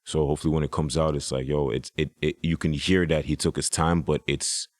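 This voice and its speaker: A man's voice: a bass voice, steady tone, brisk, no room sound. A man, a hip hop artist, talking casually as a podcast guest, rambling with restarts and "you know".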